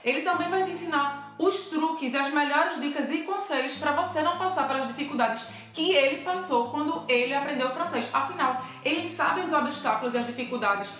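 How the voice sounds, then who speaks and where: A woman with a high voice and a Brazilian accent speaks as a teacher and co-host in a playful debate. The room echoes strongly around her, and faint music plays behind. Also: fairly quick, hardly any pauses, fairly bright tone. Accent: Brazilian Portuguese. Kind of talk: explanatory video talk, playful debate.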